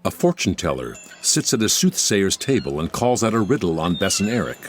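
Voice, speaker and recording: Casting: male storyteller narrator, low register, expressive range, scripted audio theatre scene-setting. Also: a little fast. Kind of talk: scripted stage-direction narration of an audio musical. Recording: prominent music behind the voice.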